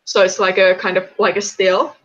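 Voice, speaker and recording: A woman's voice: mid-range voice, level tone, normal speed, dull audio. A young woman from Finland with a Finnish accent, chatting casually on an animation livestream and filling her talk with "like" and "uh". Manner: chatty, many fillers, laughs often.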